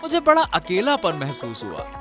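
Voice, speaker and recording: A man's voice: sits high, wildly swooping pitch, moderate pace, music in the background. A man playing a simple, honest, talkative younger boatman in a cartoon story, exclaiming and repeating his words. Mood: friendly, earnest. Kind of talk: Hindi cartoon story dialogue.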